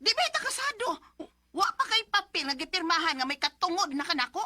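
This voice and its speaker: A woman acting a defiant, sharp-tongued young mother in a radio drama, with a Cebuano Filipino accent. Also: extremely high pitch, sing-song, fairly quick, no echo, clean studio sound, heavily acted. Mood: indignant.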